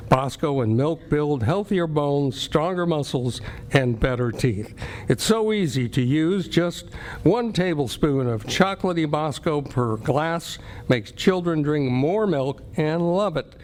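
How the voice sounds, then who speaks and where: A man's voice: fairly high pitch, expressive, measured pace, a little mellow. A man announcing in scripted 1940s radio style, reading credits and sponsor copy. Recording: live stage recording, background noise.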